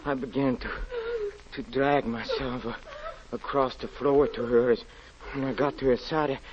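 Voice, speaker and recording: Desperate haunted husband, old 40s radio acting, stammery melodrama, dull hissy sound. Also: male voice, mid-range voice, very animated, vocal fry. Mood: shaken, hushed. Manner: halting, repeats words, intensely melodramatic. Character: haunted, desperate husband confessing a terrifying tale. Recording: muffled, noisy vintage 1940s radio recording.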